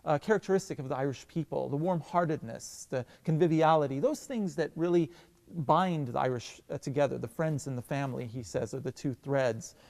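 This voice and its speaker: A man with a somewhat high voice talks about his theatre work in a thoughtful, enthusiastic way. He hesitates and restarts often. The sound rings with a long reverb. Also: fairly quick, gravelly, darkish tone. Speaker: theatre director and professor. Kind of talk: relaxed interview answers.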